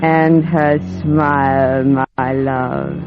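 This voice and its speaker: A woman's voice: extremely low pitch, little pitch movement, drawn-out words, vocal fry, music in the background, exaggerated voice. An actress playing a languid, predatory female vampire, coaxing and sultry, in a 1940s radio drama. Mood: seductive, hungry. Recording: tinny, band-limited 1940s radio broadcast.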